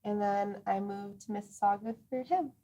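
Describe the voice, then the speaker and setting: A woman's voice: medium pitch, steady tone, moderate pace, a crowd in the background. A woman born in Kitchener, giggling and speaking briefly as a panel guest.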